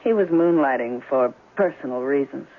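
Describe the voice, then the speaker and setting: A woman's voice: extremely low pitch, expressive, normal speed. An actress playing an alluring, evasive female client in a 1970s detective radio drama. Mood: coy. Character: alluring, evasive female client in trouble, noir femme fatale. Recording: muffled old radio broadcast.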